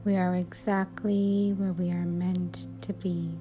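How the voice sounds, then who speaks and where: Female meditation guide: very slow, even delivery, soothing, measured phrasing, music underneath. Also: fairly low pitch. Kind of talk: guided sleep hypnosis, affirmations.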